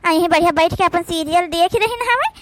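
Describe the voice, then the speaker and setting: A woman's voice: very high-pitched, a little fast, a bit bright, dry recording. A woman voicing a sly, work-shy village daughter-in-law in an Awadhi comedy cartoon, with an Awadhi accent and an evasive, rambling manner. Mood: grumbling. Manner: evasive, rambling with filler phrases.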